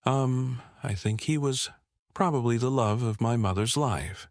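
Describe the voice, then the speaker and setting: A man's voice: mid-range voice, a little slow, dry recording, studio quality. A man in his fifties from Vietnam, whose first language is French, giving an explanatory oral history interview peppered with "right?" check-ins. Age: 50s. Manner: explanatory, often checks with "right?" and "you know".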